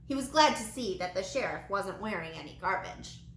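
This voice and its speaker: A woman's voice: medium pitch, expressive, brisk, fairly bright tone. A woman reading a children's story aloud, as a teacher would to young listeners. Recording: echoey room with light background noise.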